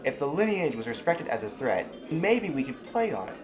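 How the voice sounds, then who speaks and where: Male actor as military general, blunt, argumentative, audio drama, lively intonation, clear music bed. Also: on the high side, normal speed. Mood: disgruntled. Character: blunt, opinionated military general and political dissenter.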